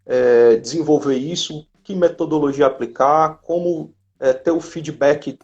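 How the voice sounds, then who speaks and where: A man with a Brazilian accent talking casually on a live stream, explaining things haltingly and repeating his words. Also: on the high side, a little mellow. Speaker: company representative co-hosting a live stream. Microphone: live video call. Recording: dull lo-fi live-stream audio.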